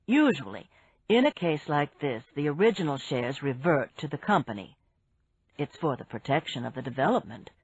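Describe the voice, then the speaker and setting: Lower-voiced, unhurried man, patient explainer, read by a woman, lo-fi. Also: very animated, husky, no echo, full character voice. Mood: explaining. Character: calm middle-aged man explaining business. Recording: dull, dry lo-fi recording.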